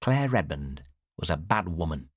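A man's voice: low voice, very animated, normal speed, husky, no echo, clean studio sound, full character voice. A man voicing a fragile, sad older mother with a plaintive, self-blaming manner in an audiobook. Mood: indignant. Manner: plaintive, self-blaming, abruptly changing subject. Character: Eileen, fragile older mother, sad, agitated, wandering mind. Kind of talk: audiobook character dialogue.

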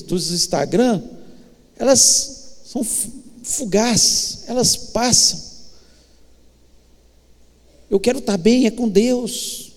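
A man with a Brazilian accent preaching a church sermon, exhorting emphatically with deliberate pauses. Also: very high-pitched, darkish tone, big-room sound. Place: church, live worship service.

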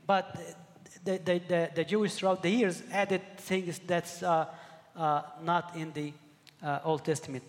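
A middle-aged man from Egypt preaching a sermon, earnest and halting, often repeating words as he searches for the next one. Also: fairly high pitch, little pitch movement, long reverb tail. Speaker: pastor.

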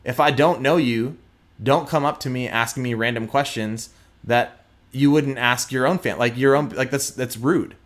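A man's voice: mid-range voice, moderate pace. Earnest man with a slight Texas twang. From Houston, Texas. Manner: earnest, emphatic, restarts mid-sentence. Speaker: guest, prosecutor. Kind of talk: candid interview conversation.

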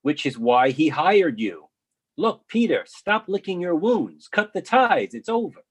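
Actor as husky, blunt male professor. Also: on the high side, sing-song, a little slow, heavily acted. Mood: blunt, exasperated. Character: blunt fifty-year-old male college professor, unsentimental. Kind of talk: stage play reading.

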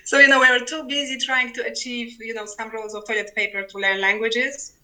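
Bright-voiced woman, wry talker. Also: a little high, flat delivery, brisk. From Poland. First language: Polish. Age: 40s. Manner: wry, humorous. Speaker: podcast guest, writer. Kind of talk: conversational interview. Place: remote internet call.

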